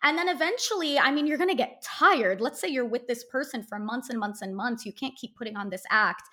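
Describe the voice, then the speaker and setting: A young woman, an actress, speaking as a guest in a casual interview, loud, outspoken and joking. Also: fairly high pitch, brisk.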